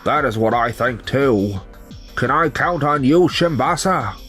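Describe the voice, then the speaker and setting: Here is a man performing a young company man in a dramatized manga story. He explains things in scripted, conversational lines at a middle pitch. Music plays behind the voice. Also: expressive, a little slow, close to an everyday voice. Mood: earnest, explanatory. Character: betrayed young company founder, calm and resigned, and his coworkers. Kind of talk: manga dub acting and narration. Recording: music under the voice.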